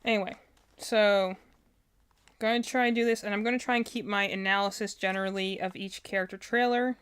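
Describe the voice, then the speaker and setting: Woman streamer just chatting away, smooth clear voice, kinda rambly, corrects herself as she goes. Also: medium pitch, steady tone, brisk, a bit bright. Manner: rambling, thinking aloud, self-correcting. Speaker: livestream host. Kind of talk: casual livestream commentary.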